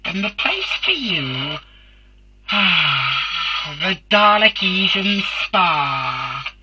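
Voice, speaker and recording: Hushed, slow Dalek advert announcer acted by a fan. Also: mid-range voice, very animated, muffled recording, heavy background noise. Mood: soothing. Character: soothing, weary Dalek spa advert announcer. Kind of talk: parody advert voice-over.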